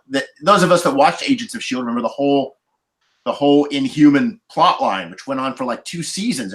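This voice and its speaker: A man in a casual podcast roundtable, talking in a rambling, halting way and repeating words as he forms his thoughts. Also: mid-range voice, fairly bright tone. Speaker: podcast panelist.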